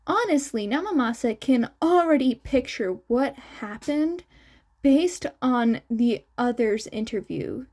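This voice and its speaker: Casting: female audiobook-style narrator for fan fiction, high voice, lively intonation, slow delivery. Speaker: narrator, podfic reader.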